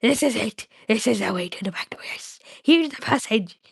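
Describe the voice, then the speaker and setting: A woman's voice: a little high, expressive, moderate pace, creaky, breathy edge, dry recording, clean studio sound, full character voice. A woman voicing a wretched, suspicious cave creature in a storybook, muttering to itself in a whispery hiss with repetitive baby-talk grammar. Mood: frightened. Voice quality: whispery, hissing.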